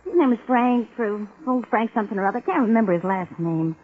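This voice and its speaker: High-voiced 1940s radio dame, played by an actress. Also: female voice, lively intonation, brisk, a little hiss or hum, natural voice. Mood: insistent, flustered. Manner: slangy, emotional. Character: tough, hurt young dame, small-time crook's accomplice. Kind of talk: 1940s radio crime drama acting. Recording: muffled vintage 1940s radio recording.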